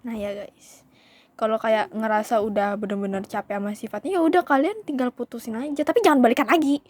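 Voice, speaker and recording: A woman's voice: fairly high pitch, fast talker. A young woman vlogger telling a storytime in a casual, chatty way, often calling her viewers "guys" and slipping into English phrases.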